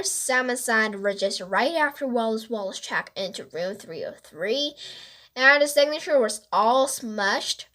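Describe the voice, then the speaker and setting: A woman's voice: high-pitched, sharp tone, exaggerated voice. A woman voicing a joking, skeptical young boy in a children's storybook, with a playful manner.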